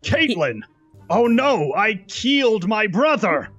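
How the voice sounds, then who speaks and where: A brooding younger brother from a cartoon roleplay, acted by a man, dry and sarcastic. He drags his words out slowly and deadpan, yet swoops widely in pitch. Music plays underneath. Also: dull audio, full character voice. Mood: theatrical dismay. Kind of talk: improvised Minecraft roleplay voice acting.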